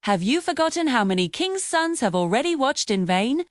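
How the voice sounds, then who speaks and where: Woman reading a haughty high-voiced eldest princess. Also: sing-song, normal speed, no echo, clean studio sound. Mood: scornful. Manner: scornful, confident.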